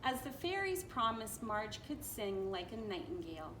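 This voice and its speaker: A woman reading a children's story aloud in an expressive, playful way, with casual asides. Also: mid-range voice, normal speed. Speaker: storybook reader. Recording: echoey room with faint noise.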